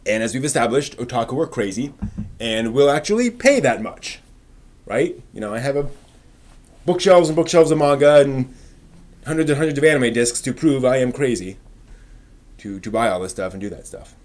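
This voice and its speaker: A man with a medium-pitched voice hosts a live stream. He talks casually and informally, as if chatting directly with viewers, rambling a little and thinking aloud as he goes. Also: expressive, moderate pace, darkish tone.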